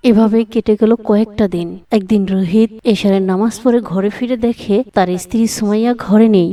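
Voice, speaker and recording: A woman's voice: medium pitch, fairly even intonation, brisk, dark tone. A woman narrating a scripted moral story for a cartoon as its storyteller.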